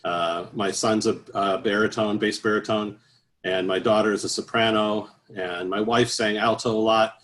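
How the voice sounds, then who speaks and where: A man's voice: on the low side, steady tone, moderate pace, a bit bright. A middle-aged man talking casually as an interview guest, in a rambling, anecdotal way. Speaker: interview guest, professional choral bass singer.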